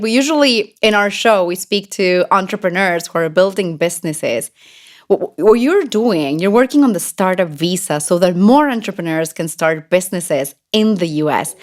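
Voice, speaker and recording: Female podcast host, mid pitch, enthusiastic interviewer. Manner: enthusiastic, some restarts. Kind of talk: podcast intro and interview questions.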